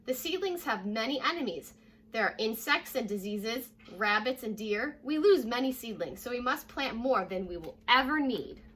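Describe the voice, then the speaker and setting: A young American woman reading a children's story aloud like a teacher, addressing young listeners directly in a lively way. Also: on the high side, moderate pace, husky. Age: young adult. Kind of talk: children's read-aloud with short asides. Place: living room at home, indoors.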